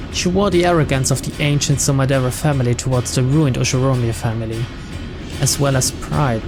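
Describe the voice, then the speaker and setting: A woman reading visual novel text aloud as dramatic narration for a gaming channel. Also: very deep voice. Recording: loud game music behind voice.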